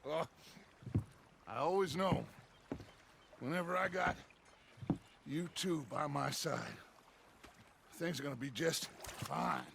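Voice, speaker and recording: An actor playing a charismatic gang leader in a game cutscene, talking in a measured, reflective way. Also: male voice, fairly high pitch, vocal fry, noisy background, full character voice. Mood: reassuring. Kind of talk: video game cutscene acting. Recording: outdoor game ambience over voice.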